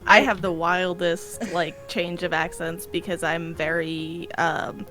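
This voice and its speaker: A woman with a New Jersey accent hosting a podcast, chatty and quick. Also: fairly low pitch, flat delivery, over music. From New Jersey, United States. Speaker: podcast host. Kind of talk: casual podcast discussion.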